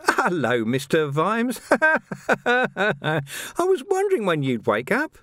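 A man's voice: high voice, wildly swooping pitch, slow, bright, forceful tone, no echo, clean studio sound, pitched above the performer's own voice, heavily acted. A man voicing a sly, cheerful male criminal who mocks and wheedles, laughing often. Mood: mocking.

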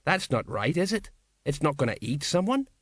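A man's voice: a little high, very animated, normal speed, husky, no room sound, studio quality, voice pushed up. A man voicing a stout, weary middle-aged sergeant who mumbles and hesitates. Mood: grumbling.

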